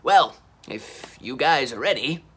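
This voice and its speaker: A man's voice: medium pitch, expressive, slow, a bit bright, husky, full character voice. A man voicing a helpful, slightly worried male dinosaur boss in a children's story, explaining things emphatically. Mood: helpful, emphatic.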